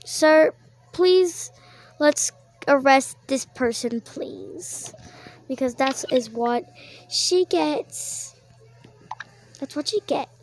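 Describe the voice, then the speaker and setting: A woman voicing an earnest, self-righteous pregnant accuser, halting and plaintive, in an amateur toy roleplay. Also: high voice, heavily acted. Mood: insistent.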